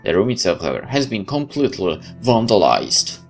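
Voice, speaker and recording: A man's voice: lowish voice, big pitch swings, fairly quick. A man doing a let's play, reading a game's story text aloud with casual asides. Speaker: game streamer reading aloud. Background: game music.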